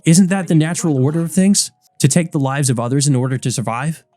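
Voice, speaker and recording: A man's voice: on the high side, fast talker, dark tone, soft background music, voice pushed up, natural voice. A man playing a desperate, devoted, grief-stricken young man from a visual novel, pleading and stammering. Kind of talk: visual novel character reading.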